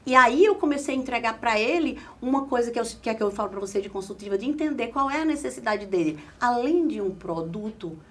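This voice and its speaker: A woman with a drawling Pernambuco accent tells her own story as an interview guest. Her voice sits fairly high and swings widely in pitch as she leans on repeated phrases for emphasis. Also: animated, fast talker, few pauses, a bit bright. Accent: Pernambuco Brazilian Portuguese. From Caruaru, Pernambuco, Brazil. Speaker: guest, communication specialist.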